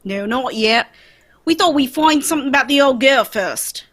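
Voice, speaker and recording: Woman as male detective, high voice, lively swings in pitch, theatrical, brisk, commanding, old-time radio drama. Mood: chummy.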